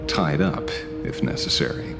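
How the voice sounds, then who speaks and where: A man's voice: measured pace, lightly acted. A man voicing a roguish, teasing ranger leader in a game, playful and theatrical. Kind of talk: dramatic game voice acting. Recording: long reverb, very loud music behind.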